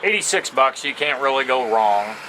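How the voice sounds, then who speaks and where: A man giving a casual, unscripted product demo outdoors, talking in a plain-spoken, halting way. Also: medium pitch, lively intonation. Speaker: amateur product reviewer. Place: outdoors on a porch. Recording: noisy outdoor recording with ambient sounds.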